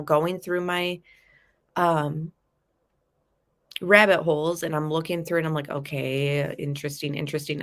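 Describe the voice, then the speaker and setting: A woman's voice: low-pitched, fairly bright tone. A woman with a stuffy, nasal voice from a cold, chatting casually with her viewers as she talks through a true crime case. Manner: chatty, addresses viewers as guys, fillers like um. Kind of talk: casual live chat commentary.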